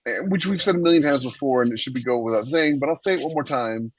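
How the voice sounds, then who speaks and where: A man's voice: mid-range voice, quick pace. A man co-hosting a podcast, giving casual advice in a blunt, emphatic manner.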